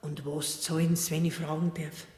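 An actress playing a polite, inquisitive female client in a radio play, speaking in a hushed Bavarian dialect. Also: low-pitched, flat delivery, normal speed, lightly acted. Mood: discreetly curious. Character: curious, polite, discreet female client in a waiting room. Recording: echoey hall with long reverb.

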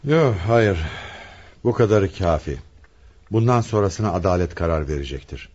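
An actor playing a stern, probing, husky police commissioner with an authoritative manner in a radio play. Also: male voice, deep voice, lively intonation, normal speed, darkish tone, highs cut off. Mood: firm. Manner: authoritative, interrogating.

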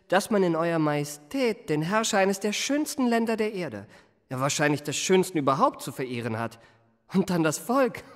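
Suave, flattering young marquis, reverberant. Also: male voice, high-pitched. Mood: gushing flattery. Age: young adult. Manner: florid, polished, elaborately courteous. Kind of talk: literary radio play, first-person narration and dialogue.

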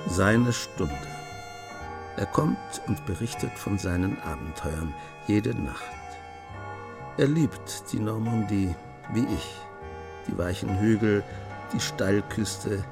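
A writer telling a radio mystery. His lowish voice is intimate and dramatic, with savoured pauses, set over clearly audible music. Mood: wistful. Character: male writer-narrator, absorbed admirer of a gentleman thief. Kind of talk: radio play narration.

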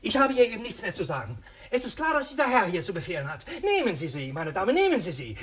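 Cranky, excitable old shopkeeper man. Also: high-pitched, quick pace, heavily acted. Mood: indignant, bitter. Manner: fussy, agitated, repeating himself. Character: cranky, eccentric old male junk shop dealer. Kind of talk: German radio play acting.

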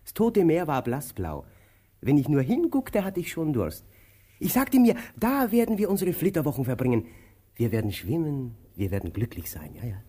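An actor playing a weary, querulous, childlike male tramp in a radio drama, with a husky voice and a plaintive, grumbling manner. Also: on the high side, big pitch swings, moderate pace, dark tone. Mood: dreamy, wistful. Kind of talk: theatrical radio drama acting.

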